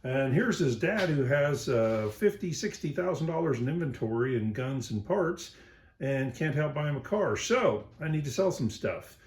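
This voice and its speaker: A middle-aged man with a low voice, tired after a long day, talking plainly to camera about the things he has for sale, with many ums and uhs. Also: animated, moderate pace, darkish tone. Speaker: small airgun parts maker. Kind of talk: casual sales talk to camera.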